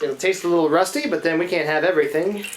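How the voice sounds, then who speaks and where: A woman's voice: fairly low pitch, fast talker, lightly acted. A woman playing a scruffy, easygoing male riverboat skipper in a live radio play, with folksy working-class speech, chatty and grumbling but deferential. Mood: hospitable, easygoing. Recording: echoey live stage, noticeable room noise.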